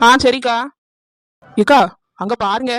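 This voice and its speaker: A woman's voice: a little high, fairly even intonation, dry recording, lightly acted. A woman playing a nosy, sarcastic young village wife in a cartoon comedy, with a confrontational manner and a southern Tamil Nadu accent. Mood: suspicious, confronting. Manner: brisk, confrontational, teasing.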